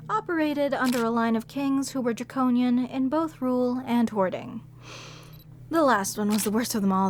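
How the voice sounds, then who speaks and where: A woman voicing a sweet, excitable, bookish museum curator in an audio roleplay. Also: a little high, slight background noise, natural voice. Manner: eager, explaining, gushing with excitement. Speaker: voice actor.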